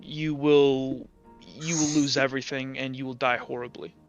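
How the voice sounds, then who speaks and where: A man narrating a tabletop game as game master, improvising with halting, backtracking explanations. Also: medium pitch, little pitch movement. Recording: slightly dull audio with music.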